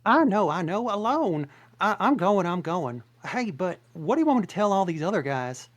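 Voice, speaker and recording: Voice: medium pitch, sing-song, normal speed. Someone reading as a chatty, clingy donkey sidekick in a table-read film script. The voice swoops all over the place and trips over its own words as it rambles on eagerly. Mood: sheepish. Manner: rambling, eager, stumbling restarts.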